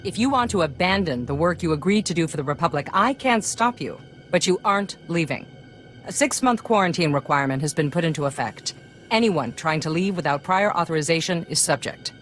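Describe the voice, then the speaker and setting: A woman acts a resolute Republic official in a video game. Her voice is low and carries authority. Loud music runs beneath it. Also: lively intonation, fairly quick, close to an everyday voice. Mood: stern. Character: resolute, authoritative female Republic project leader. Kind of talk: scripted game cutscene dialogue. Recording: loud music under the dialogue.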